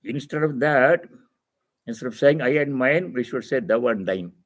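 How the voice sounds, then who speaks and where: Man giving a measured spiritual discourse. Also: mid-range voice, animated. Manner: didactic, repeats phrases for emphasis. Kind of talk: spiritual discourse, reading and explaining scripture.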